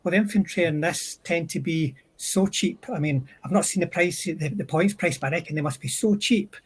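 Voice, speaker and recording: A man's voice: on the high side, a little fast, breathy edge. A man on a livestream panel giving casual, explanatory commentary.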